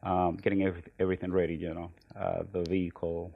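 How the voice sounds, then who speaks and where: Low gravelly guy from venezuela, hesitant, lots of ums, dry muffled audio. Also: completely flat delivery, quick pace, no room sound. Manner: hesitant, many ums and uhs, soft trailing phrases. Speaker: podcast guest. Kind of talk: relaxed conversational interview.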